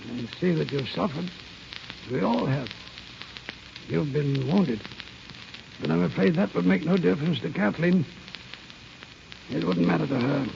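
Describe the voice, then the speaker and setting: An actor playing a stern, unforgiving older gentleman in an old radio drama, creaky, curt and stiff. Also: a little high, a little slow, long pauses. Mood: puzzled, stern. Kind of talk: 1930s-40s radio drama acting. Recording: dull, hissy old radio recording.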